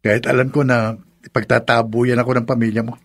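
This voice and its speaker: An actor playing a pleading, self-centred young lover in a Filipino radio drama, insistent and melodramatic. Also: male voice, mid-range voice, level tone, fast, natural voice. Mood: hopeful. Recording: music behind the voice.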